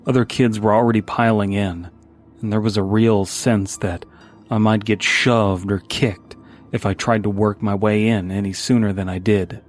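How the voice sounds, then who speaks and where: A man's voice: on the low side, fairly even intonation, moderate pace. A man reading a scripted first-person horror story as its narrator. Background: background music.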